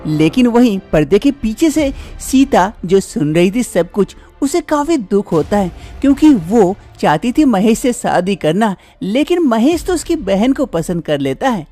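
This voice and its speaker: Mellow-voiced woman narrating a story. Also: mid-range voice, very animated, unhurried, hardly any pauses, dry recording, faint music behind.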